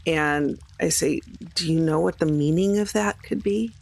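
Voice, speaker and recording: A woman's voice: low voice, moderate pace, vocal fry, a little mellow. A woman playing a tabletop roleplaying game, improvising her talk in character.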